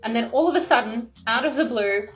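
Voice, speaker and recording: High-voiced young woman telling a casual story. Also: brisk, soft background music. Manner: expressive, acts out dialogue, slangy.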